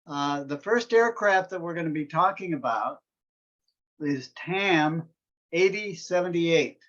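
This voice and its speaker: Male interview host, slightly higher voice, dull muffled recording. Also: animated, a little slow, fairly bright tone. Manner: clear, explanatory. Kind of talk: interview on aviation.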